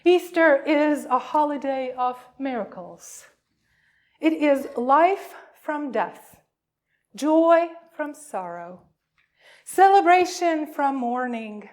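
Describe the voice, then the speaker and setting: A woman pastor welcoming a congregation and reading liturgy, with deliberate phrasing and frequent pauses. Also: sits high, lively intonation, a little mellow. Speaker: pastor leading a worship service.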